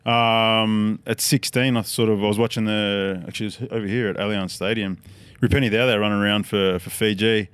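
A relaxed Australian man in his thirties who rambles easily through his story. His voice sits low and sounds smooth and resonant. It comes across close and dry. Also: a little fast, few pauses, dry recording. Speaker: podcast guest. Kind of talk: casual chat.

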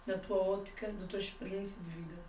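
A woman's voice: medium pitch, little pitch movement, a little fast, mellow. A woman from São Tomé hosting a podcast in a casual, conversational interview style. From São Tomé, moved to Portugal at ten. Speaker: podcast host. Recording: echoey room, distant mic, faint noise.

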